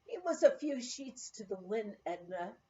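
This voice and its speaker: Woman reader as casual male ski instructor, nonchalant gossip, unhurried, mellow, memoir storytelling, muffled recording. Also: medium pitch, sing-song.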